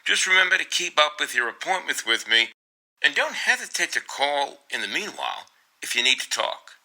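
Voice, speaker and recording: A man's voice: fairly high pitch, big pitch swings, normal speed, sharp tone, pitched above the performer's own voice, heavily acted. A man playing a concerned, encouraging doctor in a radio drama, coaxing and solicitous with his patient.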